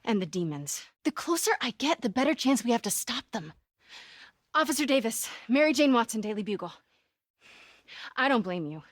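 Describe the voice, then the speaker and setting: A woman voicing a plucky, curious young American reporter in a video game, with a breathy voice and a natural, wry delivery. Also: on the high side, very animated, moderate pace, long pauses, no echo, studio quality. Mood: wry, determined. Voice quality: breathy, often hushed.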